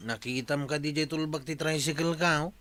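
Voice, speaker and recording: Audio drama part: actress as casual, forgetful young relative, Ilocano accent, low pitch. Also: female voice, steady tone, normal speed, fairly bright tone. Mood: searching.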